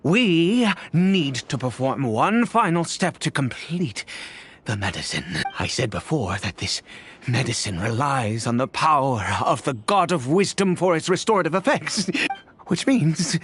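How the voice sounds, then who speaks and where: A man's voice: on the high side, lively intonation, a little slow, a bit bright, slight background noise. An actor playing a weary, grieving immortal father in a video game, with theatrical and hesitant delivery that swings between murmurs and anguished outbursts. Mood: grim, restless.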